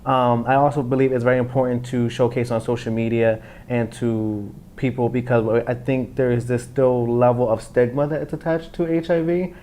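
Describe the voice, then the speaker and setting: A man's voice: mid-range voice, steady tone, normal speed, hardly any pauses, warm tone. Young man, earnest interview guest. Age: 20s. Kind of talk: conversational interview answer.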